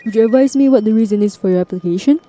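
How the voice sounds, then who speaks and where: A woman's voice: on the high side, very fast, dark tone, natural voice. A woman playing a polite, formal job interviewer in a scripted language-lesson role-play.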